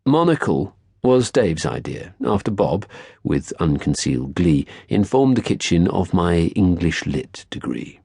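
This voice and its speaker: Deep, husky male audiobook narrator. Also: sing-song, unhurried, warm tone, dry recording, clean studio sound. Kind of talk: scripted first-person literary narration.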